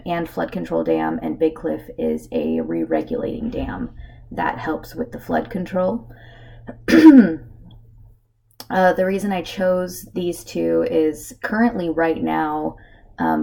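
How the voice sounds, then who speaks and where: A woman with a hoarse voice from a cold gives a casual narrated slideshow presentation, with lots of filler words. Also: low voice, dark tone. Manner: casual, with many filler ums. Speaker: student presenter.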